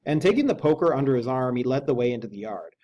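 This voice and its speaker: Mellow-voiced man teaching literature. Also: medium pitch, expressive, fast. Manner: casual, conversational explaining. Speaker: English teacher. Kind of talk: reading a novel aloud with informal analysis.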